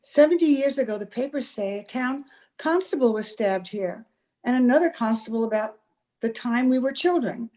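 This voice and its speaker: An anxious young sweetheart in an old-time radio drama, played by a woman. Her high voice is earnest and fretful, devoted and persistent, with a melodramatic delivery. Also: animated, normal speed, dark tone, natural voice. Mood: earnest, troubled. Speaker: amateur radio play actor. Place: home, over a video call.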